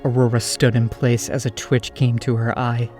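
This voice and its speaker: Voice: medium pitch, level tone, moderate pace, a little mellow. A narrator reading scripted storybook prose for an audio drama, over music.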